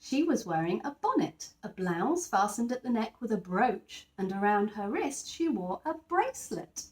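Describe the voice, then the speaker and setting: A woman's voice: medium pitch, big pitch swings, normal speed, reverberant. A woman narrating a picture book expressively for young children, stressing key words as she goes. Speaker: teacher reading a children's picture book aloud. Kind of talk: expressive scripted story narration.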